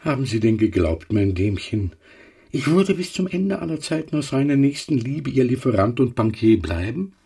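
A man's voice: mid-range voice, sing-song, moderate pace, vocal fry, mellow, slightly rough, distant mic, full character voice. An actor playing a scornful, hard-hearted merchant moneylender in a radio drama, mocking and sneering at his debtor.

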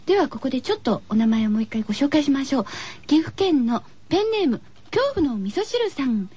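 A woman's voice: high voice, sing-song, brisk, mellow. A woman hosting a radio show from a studio, chatty but scripted, with cutesy, playful phrasing.

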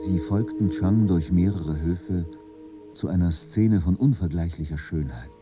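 A man narrating a literary radio drama in German in a measured, solemn, composed way. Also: low-pitched, music in the background.

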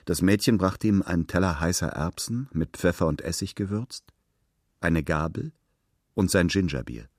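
Man, deep low voice, scripted literary narration, narrator role, dry close sound. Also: a little mellow, dry recording.

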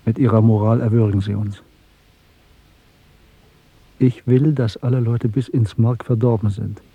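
An actor playing an embittered, fatalistic dissident in a German radio drama, brooding and deliberate in his phrasing. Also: medium pitch, steady tone, breathy edge, no echo. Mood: bitter, intense. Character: embittered, fatalistic male dissident, secretly hating the Party. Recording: muffled, dry 1970s radio production.

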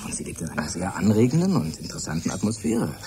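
An actor playing a shy, lovesick young research assistant, earnest and stammering, in a German radio drama. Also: male voice, low voice, huge pitch swings, fast, background noise, exaggerated voice. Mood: awkward. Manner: hesitant, stammering.

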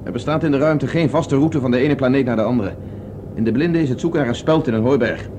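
An actor playing a self-assured, impatient space pilot in a Dutch radio drama, brisk and commanding as he explains things. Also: mid-range voice, noisy background, natural voice. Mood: calm, reassuring.

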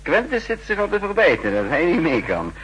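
An actor in a 1960s Dutch radio play, playing a shrewd, commanding spy chief who is brisk, authoritative and teasing. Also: male voice, high-pitched, huge pitch swings, a bit bright, a little hiss or hum, full character voice. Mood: sardonic, teasing.

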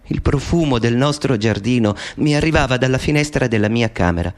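A man's voice: medium pitch, lively intonation, a little fast, close to an everyday voice. An actor playing a middle-aged man speaking an intimate, wistful monologue to his mother in a radio play. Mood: reflective.

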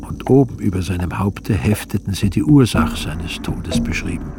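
An actor playing a solemn evangelist, narrating scripture in a measured, grave way for a radio drama. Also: male voice, sits low, lively intonation. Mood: grave narration.

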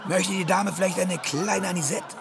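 High-voiced, amused barman. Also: steady tone, normal speed, vocal fry. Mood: courteous. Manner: chuckling, laconic, amused. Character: easygoing immigrant barman, amused and worldly ex-sailor. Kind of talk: radio drama acting. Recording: music behind the voice.

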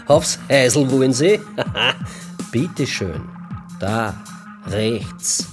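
Slow, mocking Austrian oddball neighbour. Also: male voice, medium pitch, expressive, over loud music. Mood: mocking amusement. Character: eccentric, cackling bald male neighbour with a dog. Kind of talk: radio crime drama acting.

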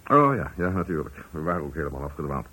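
A low, slightly rough-edged male voice as a company director in a radio drama. He is formal and calculating, talking down to staff in polished, patronising phrases. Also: very animated, fast, sharp tone, full character voice. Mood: brisk, condescending. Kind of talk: Dutch radio play acting.

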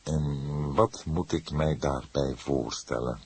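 A man voicing an old police detective, calm, stern and sardonic, speaking slowly in a deep, husky voice for an audiobook. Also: full character voice. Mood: stern, sarcastic. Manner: slow, measured, formal, emphatic.

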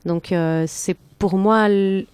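A woman's voice: medium pitch, fairly even intonation, slow, darkish tone, clean tone, no room sound. A woman who sings in a band, talking conversationally in an interview and often repeating words as she searches for the next. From Argentine family, lived in Argentina. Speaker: band singer and lyricist.

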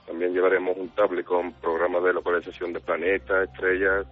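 A man's voice: low-pitched, little pitch movement, a little fast. A man from Seville, calling in to list his plans in a methodical, detailed way. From Seville, Spain. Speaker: caller. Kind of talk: listing plans in a recorded message. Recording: tinny phone-like line with faint music.

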